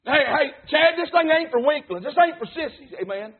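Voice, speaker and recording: A man's voice: very high-pitched, expressive, fairly quick. A man preaching an emphatic revival sermon, punctuating lines with "hey" and "amen". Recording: tinny phone-like sound.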